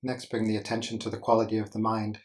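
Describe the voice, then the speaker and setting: A man with a low-pitched voice guides a meditation. His intonation stays flat and steady throughout. He gives gentle instructions as a teacher would, pausing deliberately between short phrases.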